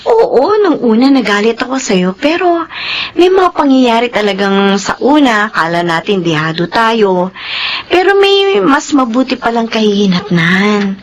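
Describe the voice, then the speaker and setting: An actress with a Filipino accent playing a forgiving former lover and mother who speaks gently and reassuringly, in a radio drama. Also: medium pitch, lightly acted.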